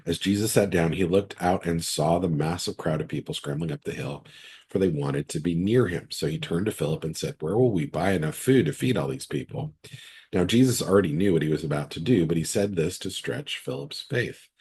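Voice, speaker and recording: Hoarse, deep-voiced pastor with a cold. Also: male voice, brisk, a little mellow. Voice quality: raspy, very deep voice. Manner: conversational, restarts sentences often. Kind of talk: online midweek Bible study, reading scripture and teaching.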